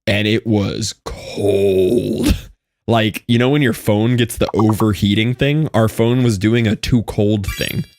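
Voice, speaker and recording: A man's voice: fairly low pitch, slow pace, darkish tone, no echo, clean studio sound. A young man casually chatting with his live-stream audience while playing, reading out and answering chat messages.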